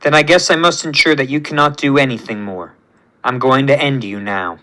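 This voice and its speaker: A man voicing a solemn, righteous superhuman who defies his creator, speaking in a measured, earnest way in a superhero story. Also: fairly high pitch, expressive, bright, forceful tone, poor audio. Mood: resolved. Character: solemn, righteous young male superhuman rebelling against creator.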